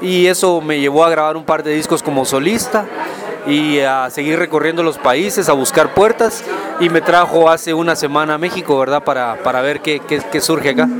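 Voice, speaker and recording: Guatemalan male musician, high voice, reflective conversational interviewee, busy crowd behind. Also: moderate pace. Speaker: guest singer-songwriter.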